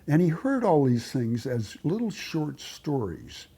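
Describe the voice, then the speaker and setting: A man telling stories for a documentary in a deliberate way, repeating phrases for emphasis. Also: fairly high pitch, big pitch swings, dark tone, breathy edge. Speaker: documentary storyteller. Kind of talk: documentary storytelling.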